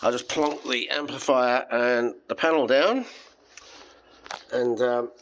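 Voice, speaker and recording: An Australian man presents a hobby radio demonstration in an informal, chatty way. He thinks aloud as he works with his equipment, explaining things in plain, everyday terms with a mid-pitched voice. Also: slow pace, a little hiss or hum, low-quality recording. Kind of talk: casual hands-on tech demonstration.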